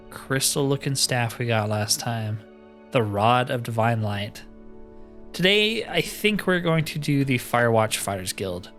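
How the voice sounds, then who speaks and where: A man's voice: medium pitch, fairly bright tone. A man hosting a let's play with casual, laid-back, rambling commentary. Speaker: host of a gaming series.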